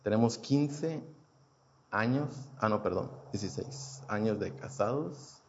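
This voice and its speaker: A man's voice: fairly low pitch, animated, measured pace, dull audio, a little hiss or hum. A man in his thirties from Houston, telling his personal faith testimony in a casual, hesitant way with many fillers and restarts. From Houston, United States. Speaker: churchgoer giving a testimony.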